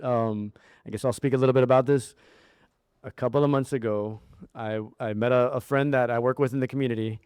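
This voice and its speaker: A man's voice: mid-range voice, darkish tone, clean tone, no room sound, clean studio sound. A man who wrote the script speaks earnestly and a little hesitantly to a theater audience. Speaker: screenwriter. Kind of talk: casual talk to an audience. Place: theater stage.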